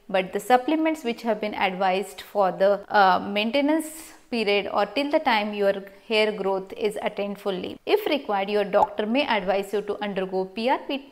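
A woman in her thirties with an Indian accent, a doctor giving a measured, instructive explanation point by point to camera. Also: medium pitch. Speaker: doctor, cosmetic surgeon presenting. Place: small clinic room, indoors.

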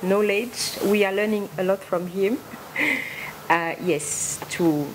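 Slow-spoken woman at a press conference. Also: mid-range voice, noisy background. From West Africa. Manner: slow, thoughtful, frequent fillers and laughs. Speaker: gospel singer hosting a concert. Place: press conference room, indoors. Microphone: table microphone.

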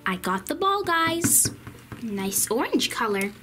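A woman acts out an older girl cousin in a children's play story. The voice is high and very lively in pitch. It has an expressive, coaxing way of instructing younger children. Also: slow pace, soft background music, exaggerated voice. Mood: cheerful, explaining.

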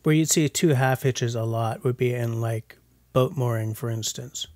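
A man's voice: medium pitch, normal speed, darkish tone, no room sound, studio quality. A man in his forties with a jokey, self-mocking manner, narrating a casual vlog voice-over. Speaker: bushcraft vlogger. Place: voice-over, not recorded outdoors. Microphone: voice-over mic.

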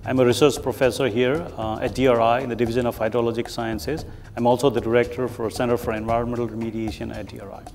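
A man's voice: mid-range voice, flat delivery, fast, hardly any pauses, a little mellow, faint music behind. Earnest man from Nepal, roomy. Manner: earnest, explanatory, frequent fillers. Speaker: research professor. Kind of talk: documentary interview. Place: large open office with windows. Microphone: lapel mic.

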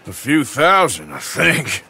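An actor playing a gruff, sardonic American outlaw in a western video game, with a dry drawl. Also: male voice, mid-range voice, big pitch swings, slow, sharp tone, a little hiss or hum, full character voice. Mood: wry. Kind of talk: video game cutscene acting.

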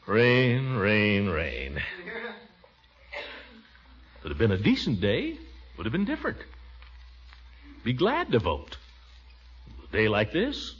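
An actor playing a fussy, blustering middle-aged American family man in a 1940s radio comedy. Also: mid-range voice. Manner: blustering, chuckling, exasperated. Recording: dull, faintly noisy 1940s radio recording.

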